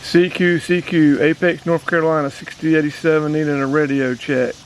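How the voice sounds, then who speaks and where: A man's voice: fairly high pitch, little pitch movement, a little fast, noisy background. An American man giving a casual hands-on demo, talking straight to viewers in a folksy way. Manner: folksy, addresses viewers directly. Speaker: outdoors channel host and radio operator. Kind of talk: casual hands-on demonstration vlog.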